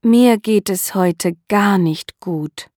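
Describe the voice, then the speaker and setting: Slow, clear woman reading drills. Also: mid-range voice, a little mellow, dry recording, clean studio sound. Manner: clear, deliberate enunciation. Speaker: language course speaker. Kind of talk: slow scripted language drill.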